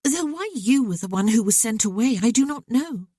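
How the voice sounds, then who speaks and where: A woman reading as an earnest, talkative young woman with a voice lifted well above the reader's own, rising and falling eagerly. The tone stays mellow and the recording is close and dry, with no room sound. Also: a little high, moderate pace, slightly rough, no room sound, voice pushed up. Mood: earnest, wistful. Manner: lively, talkative, candid. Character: outspoken young woman, sensitive, earnest sister.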